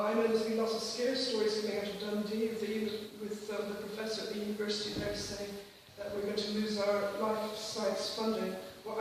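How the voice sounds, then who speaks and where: A woman from Switzerland giving an informal, earnest, somewhat hesitant speech at a public meeting. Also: mid-range voice. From Switzerland, grew up in Geneva. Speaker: campaign speaker. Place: school hall at a public meeting. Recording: echoey hall, distant mic, faint noise.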